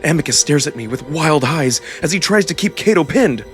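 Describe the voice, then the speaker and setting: Higher-voiced man narrating dramatically over music. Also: lively intonation, a little fast. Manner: theatrical, immersed in the story. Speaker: narrator and voice performer. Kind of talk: dramatic first-person narration of a visual novel. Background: music under the voice.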